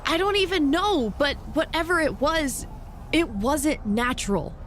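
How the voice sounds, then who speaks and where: A feisty young female wrestler in a video game cutscene. The voice is high, indignant and blunt, quick to challenge. Faint ambient noise sits behind it. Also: big pitch swings, fairly bright tone, heavily acted. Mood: suspicious.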